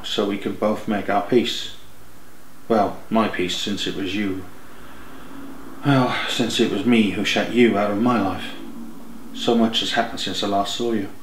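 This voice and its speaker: A man voicing a regretful, emotional young dead man in an audiobook, speaking haltingly and correcting himself. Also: lowish voice, reverberant, a little hiss or hum, poor audio. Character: regretful young dead man, tender son turning desperate.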